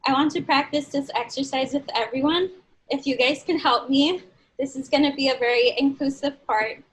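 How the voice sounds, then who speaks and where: Young woman with a high voice, earnest speaker rallying a crowd. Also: fairly bright tone, rough recording. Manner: earnest, motivational, leads audience in call-and-response. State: dry throat. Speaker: speaker at a community event. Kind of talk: personal speech to an audience.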